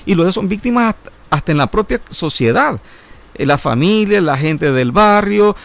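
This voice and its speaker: Male criminal-law guest, gravelly and slightly high-pitched, explains at length with fillers. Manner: lecturing, legal jargon, frequent hesitations. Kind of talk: radio panel discussion.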